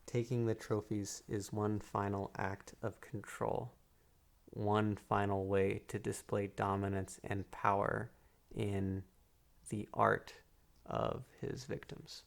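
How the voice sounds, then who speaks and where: A man playing a calm, manipulative psychiatrist in a soft-spoken ASMR roleplay, measured and hypnotic, pausing between phrases. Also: lowish voice, gravelly. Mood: sinister, measured. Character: calm, manipulative male psychiatrist interviewing a killer patient.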